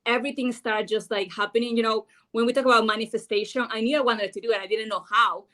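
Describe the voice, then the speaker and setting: A woman's voice: on the high side, fairly even intonation, fast talker, a bit bright. A woman from Ecuador with an Ecuadorian Spanish accent, a news anchor giving earnest, unscripted interview answers full of fillers. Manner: earnest, thinking aloud with many fillers.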